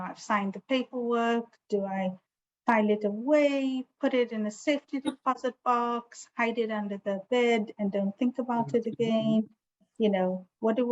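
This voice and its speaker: A woman with a South African accent giving an informal, explanatory online seminar talk in a conversational way. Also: mid-range voice. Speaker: presenter, estate planning attorney. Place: online video call with virtual background. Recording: dull lo-fi video call sound.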